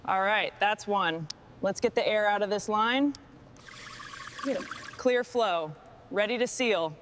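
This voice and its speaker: A woman's voice: mid-range voice, slow, fairly bright tone, slight background noise. A woman working as a mechanic, giving short workshop instructions and commentary on a truck restoration.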